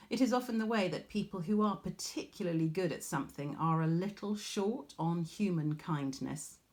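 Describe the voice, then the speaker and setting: A woman reading a storybook aloud like a teacher, expressive, with dramatic pauses. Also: on the low side.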